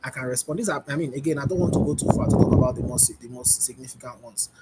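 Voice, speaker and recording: A man, demonstrating software on a webinar panel, loosely repeating himself as he goes. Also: medium pitch, quick pace. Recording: loud background noise.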